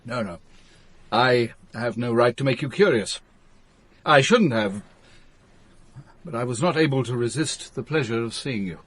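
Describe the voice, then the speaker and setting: An actor playing a worldly, courtly middle-aged smuggler and fond lover in a radio drama. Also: male voice, mid-range voice, a little slow, long pauses, husky. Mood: confiding. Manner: courtly, expressive.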